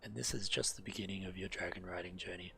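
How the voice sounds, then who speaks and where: Male game streamer, low bright voice, reads quest dialogue aloud with occasional stumbles, faint background noise. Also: fairly even intonation, fast, gravelly. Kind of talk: reading quest text aloud and casual game commentary.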